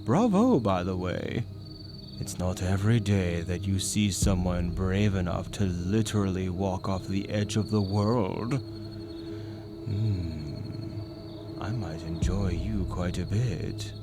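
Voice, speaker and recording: A man voicing an ancient, smug demon tempter in a dark romance audio roleplay, with playful, sinister, theatrical delivery and drawn-out teasing words. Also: sits low, expressive, exaggerated voice. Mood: mocking amusement. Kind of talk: dark romance ASMR character monologue. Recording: voice over dark ambient music. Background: loud dark ambient music.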